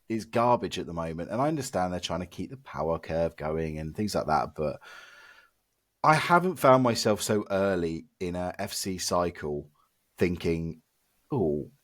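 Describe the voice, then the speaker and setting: A man co-hosting a podcast, hoarse and losing his voice from illness, ranting in an exasperated, emphatic way. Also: sits low, no room sound, studio quality. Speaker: podcast co-host. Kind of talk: casual podcast chat.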